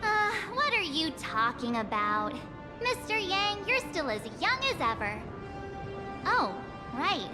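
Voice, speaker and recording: A woman's voice: very high-pitched, sing-song, takes it slowly, long reverb tail, loud music behind the voice, full character voice. A woman voicing a small, perky, cheeky childlike girl companion in a video game, bubbly and teasing. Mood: curious, playful. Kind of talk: animated video game character dialogue.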